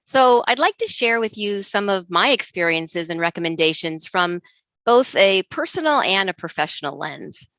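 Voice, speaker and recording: A middle-aged woman explains her points in a measured, earnest way, pitched in an ordinary middle range. The recording is dry and close, with no room around her. The sound is dull and a little lo-fi. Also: a bit bright, no echo. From Egypt. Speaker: intercultural trainer. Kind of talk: recorded presentation talk.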